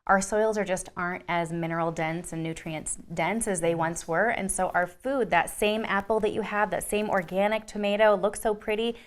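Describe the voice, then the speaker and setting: A woman's voice: medium pitch, fairly even intonation, normal speed, hardly any pauses. A woman explaining wellness ideas earnestly in a casual podcast chat. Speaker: wellness guest. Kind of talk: casual conversation.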